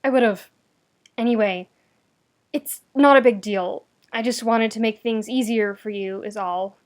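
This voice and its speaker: A woman's voice: fairly high pitch, fairly even intonation, measured pace, close to an everyday voice. A woman voicing an awkward young male student in an audio story, brusque, defensive and eager to help.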